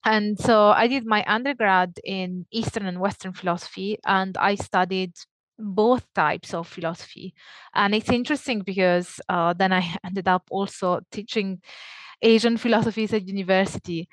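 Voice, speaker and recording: A woman's voice: medium pitch, dry recording, poor audio. Italian-accented woman scholar. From Italy. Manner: laughs often, quick bursts of speech. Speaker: academic guest. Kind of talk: conversational interview.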